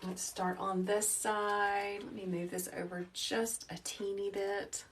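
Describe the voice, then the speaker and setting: A woman in her thirties talks through a live craft tutorial in a calm, soft, teacherly voice, chatting with her viewers. She stands in a small indoor workroom, a little back from the phone mic. A faint background noise runs under her voice. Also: mid-range voice, slow pace, far from the mic. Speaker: wreath-making livestream host.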